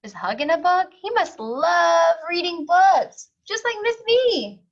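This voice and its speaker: A woman's voice: very high-pitched, big pitch swings, a little slow, dull audio. A woman teacher reading a picture book aloud to young children, expressively, with simple explanatory asides.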